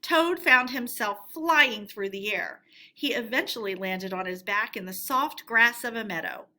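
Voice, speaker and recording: A woman storyteller reading a storybook aloud in an expressive narrating style. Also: fairly high pitch, measured pace.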